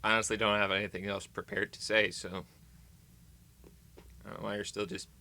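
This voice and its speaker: A man's voice: on the low side, little pitch movement, fairly quick, bright, forceful tone. An American man vlogging in casual, rambling chat to camera, playful and tongue-in-cheek with dramatic emphasis.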